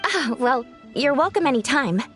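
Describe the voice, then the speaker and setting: A woman voicing a gentle, courteous, slightly flustered young spirit in an anime-style video game. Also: sits high, sing-song, moderate pace, over music. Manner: courteous, hesitant.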